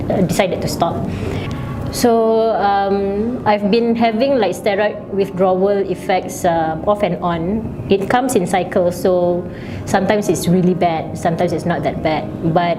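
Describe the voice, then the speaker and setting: Lowish-voiced woman speaking over music. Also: low-quality recording. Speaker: interviewee, eczema sufferer. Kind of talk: personal testimony to camera. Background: background music.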